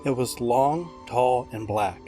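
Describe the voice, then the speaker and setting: A man's voice: medium pitch, takes it slowly, dark tone, faint music behind, close to an everyday voice. A man voicing an insistent, grieving middle-aged mother who stresses her words and bristles at not being believed. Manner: emphatic, offended.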